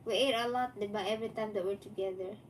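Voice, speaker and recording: A woman's voice: medium pitch, steady tone, quick pace. Chatty Filipino-accented woman, distant mic. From Philippines. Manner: chatty, teasing, tag questions. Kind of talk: casual livestream chat. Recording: distant mic, faint noise.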